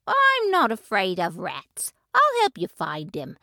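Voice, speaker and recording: A woman voicing a brisk, matter-of-fact neighbor cat. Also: mid-range voice, wildly swooping pitch, bright, forceful tone, no room sound, studio quality, heavily acted.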